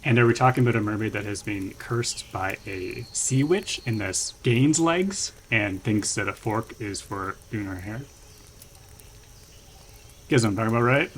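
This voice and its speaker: A man's voice: fairly low pitch, moderate pace, slight background noise. A man co-hosting a comedy podcast, playfully narrating scene cuts in an improvised story.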